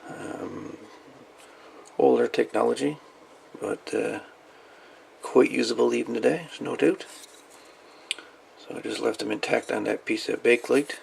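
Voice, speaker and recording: A man with a very gravelly, fry-heavy voice talks casually as a hobbyist showing things off. His delivery is folksy and halting, wandering as he goes. It is a home recording with faint noise behind him. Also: mid-range voice, darkish tone. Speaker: radio hobbyist presenting salvaged parts. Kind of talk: casual hands-on show-and-tell.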